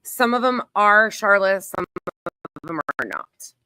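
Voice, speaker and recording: A woman's voice: medium pitch, lively intonation, takes it slowly, bright, forceful tone, smooth, dry recording, studio quality. A woman hosting a casual live online commentary, emphatic and repetitive in phrasing, talking through what she does as she browses. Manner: narrates own actions while browsing. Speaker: YouTube creator, host.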